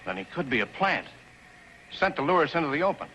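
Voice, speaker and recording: A man's voice: a little high, big pitch swings, quick pace, sharp tone. An actor playing a nervous, worried outlaw henchman in a 1950s television western. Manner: worried, agitated. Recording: noisy old 1950s TV soundtrack.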